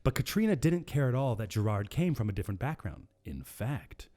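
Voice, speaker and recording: A man's voice: mid-range voice, sing-song, a little fast, warm tone. A man co-hosting a podcast, chatting casually with lots of swearing and stumbling through a story he is sight-reading. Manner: profane, joking, stumbles over words while reading. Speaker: podcast co-host.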